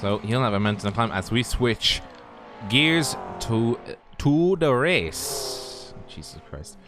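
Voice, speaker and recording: A man's voice: medium pitch, expressive, takes it slowly, music in the background. A man co-commentating a sim race stream in a jokey, chatty way, talking to the viewers. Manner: jokey, chatty, talks to the chat. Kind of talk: casual live race commentary.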